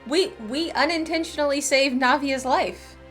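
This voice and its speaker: High-voiced woman streaming a game. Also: normal speed, a bit bright. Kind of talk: casual game playthrough commentary. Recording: faint game music behind.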